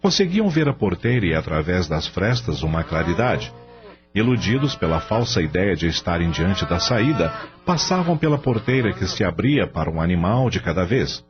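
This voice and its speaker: Deep Brazilian man narrating with dramatic swings. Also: sing-song, normal speed, dull audio. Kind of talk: dramatic scripted radio novel narration. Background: music.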